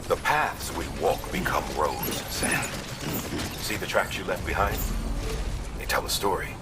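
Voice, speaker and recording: Deep, solemn commander man. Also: animated, unhurried, heavy background noise. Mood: solemn, encouraging. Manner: earnest, speech-like encouragement. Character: solemn, encouraging male mission commander. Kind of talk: video game briefing dialogue.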